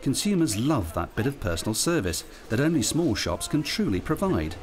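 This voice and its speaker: A man's voice: medium pitch, very animated, normal speed, slight background noise. A man reading scripted narration for a training film. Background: background music.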